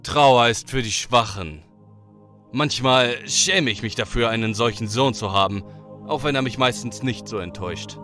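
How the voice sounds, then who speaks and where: A man's voice: medium pitch, moderate pace, bright, forceful tone, faint music behind, lightly acted. A man playing a smug, scornful thunder god in an audio drama, haughty and mocking. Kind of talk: German audio drama acting.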